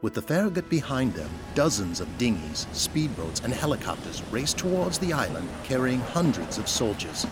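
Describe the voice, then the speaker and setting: A man's voice: medium pitch, big pitch swings, normal speed, few pauses, over music. A man delivering scripted, dramatic narration for an audio drama as its narrator.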